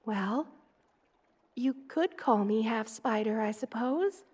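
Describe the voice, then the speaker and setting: A woman voicing a gentle, doting spider queen in an intimate ASMR roleplay, soothing and tender in manner. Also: a little high. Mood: gently amused, explaining. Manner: soothing, tender, coaxing, with slow careful delivery.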